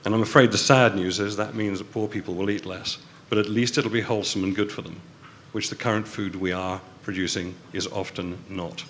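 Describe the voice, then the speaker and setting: A man arguing forcefully on a debate panel, driving points home by repeating them. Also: on the low side, fairly even intonation, a little fast, few pauses, slight background noise. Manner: forceful, emphatic repetition. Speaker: panelist, restaurateur.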